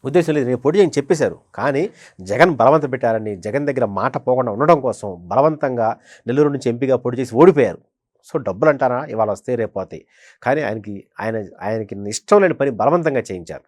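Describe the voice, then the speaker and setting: Casting: male senior journalist, middle-pitched, emphatic and repetitive pundit delivery, dry close recording. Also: animated, quick pace, dry recording. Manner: emphatic, repeats phrases for stress. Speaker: senior journalist, political analyst. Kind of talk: conversational political commentary.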